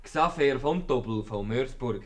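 A man's voice: mid-range voice, animated, measured pace, close to an everyday voice. A man playing a naive, stubborn young husband with a Swiss German accent in a 1950s radio comedy. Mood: earnest. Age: young adult.

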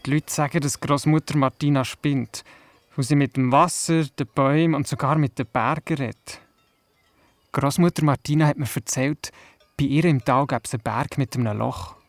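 A man's voice: medium pitch, steady tone, normal speed, no echo, close to an everyday voice. A male performer playing a curious Swiss city boy who narrates an audio play, with a Swiss German accent. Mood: curious, wondering. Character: curious city boy telling of his grandmother. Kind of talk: storytelling narration in a radio play.

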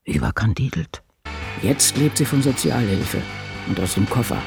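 A man's voice: mid-range voice, expressive, normal speed, gravelly, music in the background. An actor playing a solitary, crotchety old woman novelist, reflecting and musing to herself in a radio drama. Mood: brooding. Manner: musing, talking to self. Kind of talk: radio drama monologue, diary reading.

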